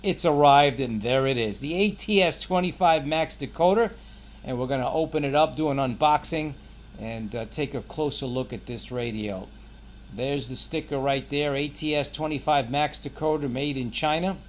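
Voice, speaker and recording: A man's voice: medium pitch, normal speed, a little hiss or hum. A man hosting a casual product unboxing, pointing things out in short, clipped phrases. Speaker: product reviewer and channel host.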